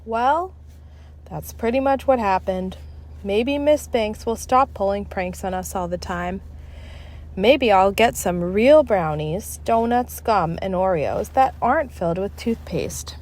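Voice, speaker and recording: A woman narrating a children's book aloud in an expressive, dramatic, deliberate style. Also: mid-range voice. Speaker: storybook reader.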